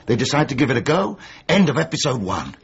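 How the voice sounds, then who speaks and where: An actor playing a disillusioned, sardonic middle-aged writer in a radio comedy drama, blunt and wry. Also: male voice, mid-range voice, very animated, fast, slightly rough, highs cut off, exaggerated voice. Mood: pitching, keen. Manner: blunt, wry, world-weary.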